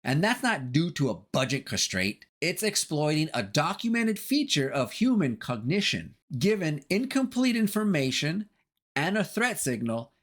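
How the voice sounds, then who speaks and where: Man narrating, lively swooping pitch. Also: moderate pace. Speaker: video essay narrator. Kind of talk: scripted narration analyzing horror.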